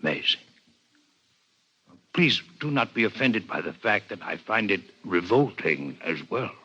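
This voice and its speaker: Polite alien scientist from old radio, very formal, lowish voice thats a bit husky, dull vintage sound. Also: male voice, sing-song, a little slow, pushed and bright, full character voice. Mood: formal, courteous. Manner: formal, precise, courteous speech. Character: courteous six-legged alien doctor visiting Earth, male. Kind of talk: 1950s radio drama acting.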